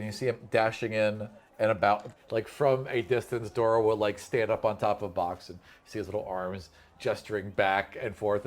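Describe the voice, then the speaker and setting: A man acting as game master, improvising fantasy narration mixed with casual chat, often stopping to rephrase as he describes the scene. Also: lowish voice.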